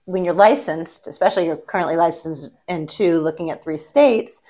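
A woman's voice: low-pitched, moderate pace, dark tone. A woman hosting an interview, asking questions and encouraging her guest with short affirmations. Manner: encouraging, frequent short backchannels. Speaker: podcast host.

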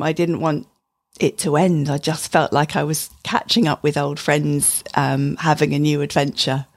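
A woman's voice: sits low, gravelly, darkish tone, husky, dry recording, studio quality. A woman giving a prepared book review as a podcast guest, speaking in a reflective, personal way. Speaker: advanced clinical practitioner, podcast guest.

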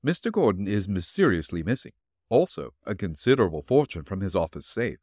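A man voicing a calm, shrewd detective who questions dryly and evenly. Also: on the low side, no echo. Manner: measured, dry questioning.

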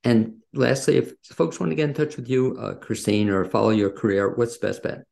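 A man with a heavily gravelly, creaky voice at an average pitch hosts an informal interview. He wanders conversationally around each question before he lands it. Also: fairly quick, darkish tone. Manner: rambling, circling toward his questions. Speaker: interview host. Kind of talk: casual interview chat.

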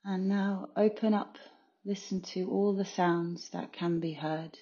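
Lady doing guided meditation, soothing lowish voice w lots of pauses, bit dull muffled audio. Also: steady tone, warm tone. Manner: soothing, unhurried pauses between short phrases. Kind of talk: guided yoga nidra meditation.

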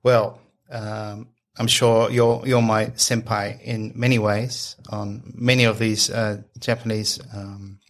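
A man's voice: fairly low pitch, level tone, slow pace, few pauses. A man in his early fifties hosting a podcast interview in a conversational style. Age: early 50s. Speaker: podcast host.

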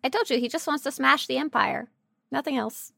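A woman's voice: high voice, fairly quick, creaky, a bit bright, dry recording, clean studio sound. A woman co-hosting a podcast in casual, playful banter with a silly, joking manner. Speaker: podcast co-host.

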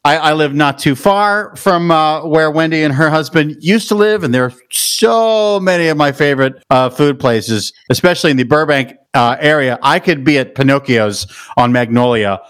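A man hosting a podcast in casual chat. He talks in a loud, profane and playful way, with sudden high whoops. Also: moderate pace, few pauses, clear, resonant tone. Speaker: podcast host.

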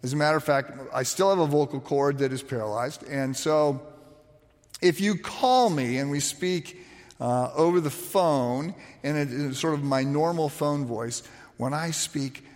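A man preaching in a big hall, his voice a touch high for a man and raspy whenever he drops to a softer level. He tells his points as lively stories, stopping and restarting often. The sound rings with a long hall echo. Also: measured pace. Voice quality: raspy when speaking quietly. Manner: animated storytelling with frequent restarts and fillers. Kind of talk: sermon.

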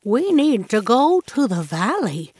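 A woman voicing an old, kindly and determined grandmother goat with an earnest, measured manner in a children's story. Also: on the high side, very animated, a little mellow, slightly rough, no room sound, full character voice.

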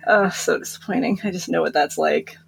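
A woman's voice: fairly low pitch, fairly quick, creaky, slight background noise, poor audio. A giggly, chatty woman co-hosting a book podcast and casually recapping stories.

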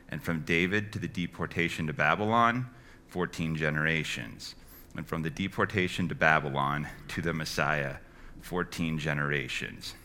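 A man reading scripture aloud in a measured way, phrase by phrase. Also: extremely low pitch, pushed and bright. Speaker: scripture reader.

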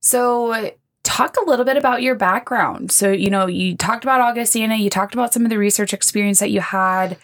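A woman's voice: medium pitch, fairly quick. A woman interviewing a new intern, encouraging and talking in long, winding questions. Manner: warm, encouraging, talks in long run-on questions. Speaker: interviewer for the organization. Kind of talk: casual interview.

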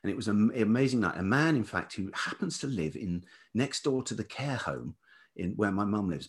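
A middle-aged man with a velvety voice, telling stories reflectively and hesitantly as an interview guest, often repeating words as he searches for the next. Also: sits low. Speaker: interview guest, writer and musician. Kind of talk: reflective conversational storytelling.

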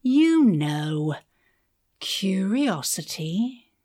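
An actress playing a composed, menacing female cult leader in an audio drama, condescending and mock-gentle with a deliberate delivery. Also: medium pitch, big pitch swings. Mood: menacing, toying. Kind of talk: scripted audio drama acting. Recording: roomy echo.

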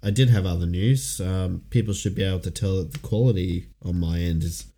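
A man co-hosting a podcast in casual chat, easygoing and quick to laugh. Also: low-pitched, mellow. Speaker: podcast co-host.

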